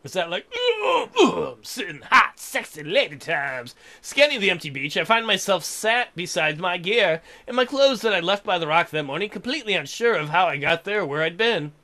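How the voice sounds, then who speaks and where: A man's voice: sits high, lively intonation, brisk, hardly any pauses, sharp tone. A man hosting a let's play, reading a visual novel aloud with crude, irreverent joking asides.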